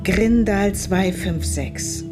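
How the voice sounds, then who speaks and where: A woman's voice: mid-range voice, drawn-out words. An actress playing a stern, dismissive mother, curt and reproachful, in a German radio play. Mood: impatient, scolding. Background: music.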